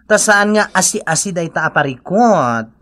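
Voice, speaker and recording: A mocking village neighbour with an Ilocano accent. Her voice is fairly low for a woman yet sharp, and she chides bluntly in lively radio-drama style. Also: unhurried. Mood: chiding.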